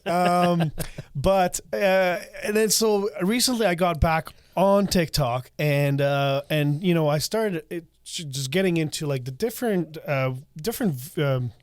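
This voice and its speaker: A man from Slovakia with a Slovak accent, hosting a casual podcast chat, laughing often and talking in quick bursts. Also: fairly high pitch, smooth, dry recording. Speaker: podcast host.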